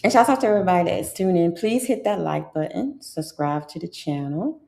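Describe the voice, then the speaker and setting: A woman's voice: on the low side, expressive, fairly quick, vocal fry, a little mellow. A middle-aged woman with a congested voice, hosting a casual livestream and chatting in a drawling way with asides to her viewers. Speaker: livestream host.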